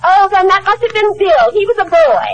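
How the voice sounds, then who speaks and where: A woman's voice: very high-pitched, animated, a little fast, dull audio. A woman playing a scatterbrained, forgetful elderly mother with a dithering, sing-song manner in 1940s radio comedy. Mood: muddled. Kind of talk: 1940s radio sitcom acting. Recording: noisy old radio recording, loud studio audience.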